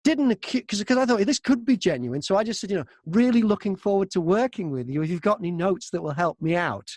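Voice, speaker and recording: A man's voice: sits high, expressive, fast talker, a little mellow, no echo, clean studio sound. A man who narrates audiobooks, chatting casually as an interview guest and acting out his thoughts and messages as he tells stories.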